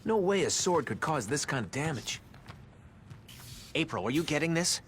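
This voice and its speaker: A man's voice: a little high, sing-song, normal speed, a bit bright, a little hiss or hum. A man voicing an earnest, responsible teenage mutant turtle leader in a video game, serious and commanding, with music behind him. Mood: serious, focused. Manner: clear-headed, commanding, serious. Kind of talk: video game cutscene acting. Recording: music under voice.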